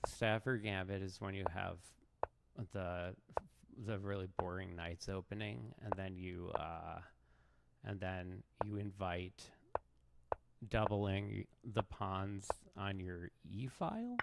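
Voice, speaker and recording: Man, chess streamer, low gravelly voice, self-mocking rambling talk, noisy home mic. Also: slow. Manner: self-mocking, rambling, restarts mid-thought. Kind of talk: casual live commentary while playing.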